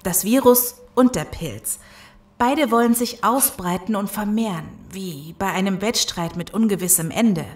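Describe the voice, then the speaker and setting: A woman's voice: mid-range voice, a little slow, lightly acted. A woman playing an earnest scientist in a German audio drama. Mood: serious. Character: earnest scientist woman explaining a zombie virus. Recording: echoey room sound.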